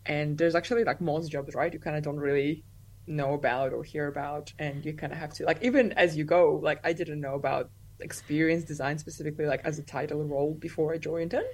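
Young woman, lowish voice, chatty guest, says like a lot. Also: fairly even intonation, fairly quick, a little mellow. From Russia. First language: Russian. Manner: chatty, many "like" fillers, laughs easily. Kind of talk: casual interview chat.

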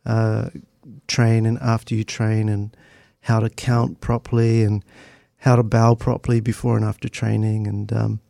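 A man's voice: medium pitch, creaky, warm tone, no echo, clean studio sound. A middle-aged man with a New Zealand accent, reminiscing conversationally and hesitantly as an interview guest. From Christchurch, New Zealand. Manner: hesitant, halting, thoughtful delivery.

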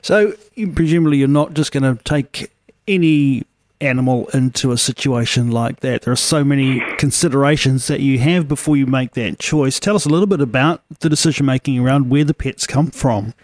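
A man's voice: mid-range voice, a little fast, no echo. A man hosting a radio interview, asking friendly questions with small restarts. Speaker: radio host.

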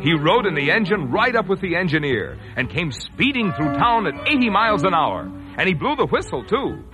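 A man narrating a children's story in a measured storytelling manner, in a 1940s-style radio play. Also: high voice, sing-song, over music.

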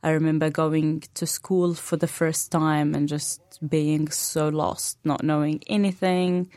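Palestinian woman, native arabic speaker, young adult, low voice, soft-spoken, many pauses, dry studio sound. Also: little pitch movement, dry recording. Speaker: interview guest. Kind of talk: radio interview conversation.

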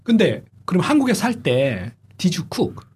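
Husky Korean-accented radio host. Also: male voice, high-pitched, sing-song, racing. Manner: inquisitive, switches between English and Korean. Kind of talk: interview. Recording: community radio studio.

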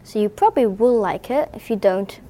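An eleven-year-old girl from Belgium talks through a baking class, hesitant and chatty, filling her sentences with ums. Her voice sits at an ordinary pitch for a young female speaker. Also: lively intonation, a little fast. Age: 11 years old. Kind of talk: casual workshop instruction. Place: community hub with children.

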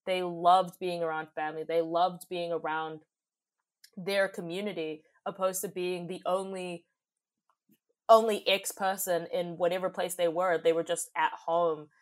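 A woman's voice: lowish voice, level tone, measured pace. A young woman, reflecting thoughtfully and expressively in a conversational interview. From New Zealand. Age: young adult. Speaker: podcast guest, youth advocate and poet.

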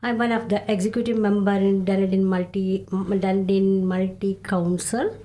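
An older woman with a South Indian accent, a native Tamil speaker, speaking as a live radio guest in an earnest, halting, grateful way. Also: mid-range voice, level tone, mellow. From Tamil Nadu, India. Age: 60s or older. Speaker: radio guest, community council member. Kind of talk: live radio self-introduction. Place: radio studio.

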